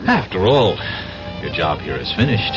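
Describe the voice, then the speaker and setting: An actor playing a cocky, lovesick newspaper reporter with a wry, bantering manner in a radio drama. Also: male voice, low-pitched, sing-song, moderate pace. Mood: wry, courting. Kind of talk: 1970s radio drama acting. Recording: muffled old radio broadcast with music.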